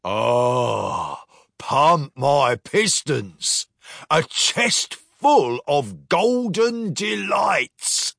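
A man's voice: fairly high pitch, wildly swooping pitch, slow pace, sharp tone, dry recording, studio quality, heavily acted. Man putting on a swaggering storybook pirate captain, hot-headed. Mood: blustering. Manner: blustering, hearty.

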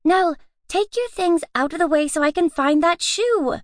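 A woman voicing a chattery, excitable young girl in an audiobook, talking in a hurried rush. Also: very high-pitched, no echo, clean studio sound, pitched above the performer's own voice, heavily acted. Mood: excited, hurried. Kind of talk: audiobook character reading.

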